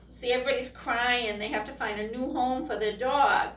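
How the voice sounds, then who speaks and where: A woman reading a children's picture book aloud expressively, pausing to put questions to young listeners. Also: fairly high pitch, steady tone, a bit bright. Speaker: storytime reader, teacher. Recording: echoey room, distant microphone.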